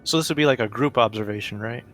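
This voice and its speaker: A man's voice: mid-range voice, fast talker, a bit bright, soft background music. A man talking casually as a player in a tabletop roleplaying game.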